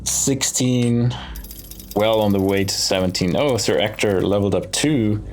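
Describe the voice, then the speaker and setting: A man giving casual gameplay commentary, thinking aloud in short, musing phrases with pauses between them. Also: fairly low pitch, a little hiss or hum. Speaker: let's play host. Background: game music.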